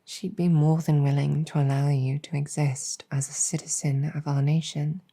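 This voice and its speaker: A woman playing a gentle, earnest, world-weary demon general in an ASMR roleplay, speaking softly and reassuringly with measured hesitation. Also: low-pitched, level tone. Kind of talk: improvised ASMR roleplay storytelling.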